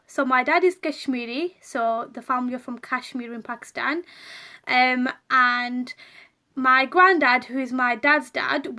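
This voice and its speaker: A woman's voice: high voice, sharp tone. A young woman talking openly to her viewers in a chatty personal vlog, with lots of ums and restarts. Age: young adult. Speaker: vlogger.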